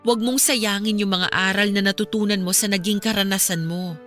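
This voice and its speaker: A caring young Filipino woman played by an actress, steadfast and reassuring in an earnest radio drama style. Her voice sits at a middle pitch, with music behind it. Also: fairly even intonation, a little fast, fairly bright tone, close to an everyday voice. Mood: gentle consoling.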